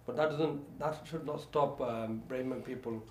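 A man's voice: medium pitch, unhurried, darkish tone. A man whose first language is Bengali speaks as a guest councillor in a casual interview. He talks in fits and starts, repeating phrases and stacking fillers. The room is echoey, with a light hiss behind him. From Bangladesh. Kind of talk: conversational interview. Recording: echoey room with faint background noise.

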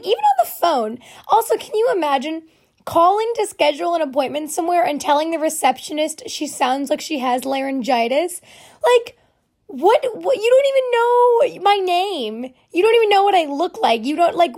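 A young woman hosts her own podcast, talking on and on in a loose, self-deprecating way. Her voice sits high but always sounds hoarse and raspy, like she's getting over a cold. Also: darkish tone. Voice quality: hoarse, raspy voice from vocal cord nodules. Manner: rambling, chatty, self-deprecating with many fillers. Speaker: podcast host. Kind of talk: casual solo podcast chat.

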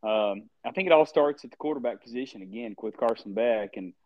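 A man's voice: medium pitch, fairly quick, dry recording, muffled recording. A man with a Southern accent co-hosting a sports podcast in a laid-back, joking drawl, often repeating his phrases. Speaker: podcast co-host. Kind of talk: casual sports chat.

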